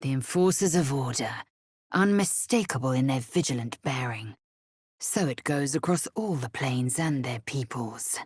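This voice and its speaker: A woman's voice: extremely low pitch, animated, moderate pace, a little mellow, slightly rough, no echo, studio quality, exaggerated voice. A woman playing a fierce, imperious young Githyanki alien warrior in a video game, with a clipped, haughty, commanding way of speaking and formal phrasing. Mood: haughty, disdainful. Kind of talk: video game character voice lines.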